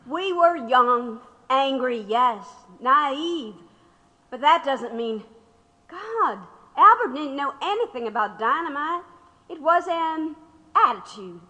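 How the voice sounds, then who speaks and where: A woman's voice: high-pitched, lively intonation, slow pace, bright, forceful tone, full character voice. A woman acting in an audio drama as a proud, defiant labor activist under interrogation, speaking with impassioned storytelling. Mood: defensive, wistful. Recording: long hall reverb.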